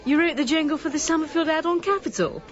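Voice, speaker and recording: A woman acting a carefree young woman in a radio comedy, forthright and unattached. Her voice is high, over a clear crowd background, and the recording is slightly dull. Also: fast, soft background music, natural voice. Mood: impressed.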